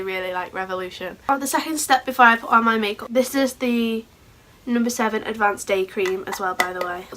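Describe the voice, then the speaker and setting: Hoarse chatty woman vlogger. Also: medium pitch, a bit bright. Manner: chatty, rambling, a bit scatterbrained. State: sore throat, hoarse. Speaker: beauty vlogger. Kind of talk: casual makeup tutorial.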